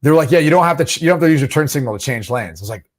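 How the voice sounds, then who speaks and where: Lively teasing man, sports podcast co-host. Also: fairly high pitch, racing. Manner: teasing, laughs often, lively banter. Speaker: podcast co-host, former player. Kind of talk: casual sports chat.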